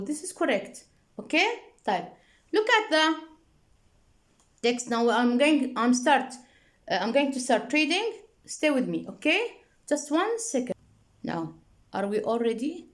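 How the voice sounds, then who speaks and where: A woman teacher giving a classroom lesson, often checking in with her students and using frequent fillers. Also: high voice.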